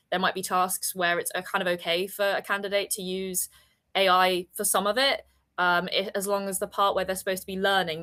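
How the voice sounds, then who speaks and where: A woman's voice: fairly low pitch, a bit bright. A woman hosting a webinar over a video call, talking in a chatty, enthusiastic way and often laughing. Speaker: webinar host. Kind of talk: casual webinar chat.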